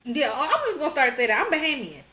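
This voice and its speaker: Lively, bright-voiced young woman podcaster. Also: sits high, fast. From Georgia, US. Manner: animated, laughs often, slangy. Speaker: podcast co-host. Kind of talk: casual chat.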